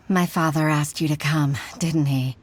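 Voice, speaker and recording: A woman's voice: low voice, a little hiss or hum. A woman playing an earnest, easily frustrated young junior official in a video game, with a formal manner. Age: young adult. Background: background game music.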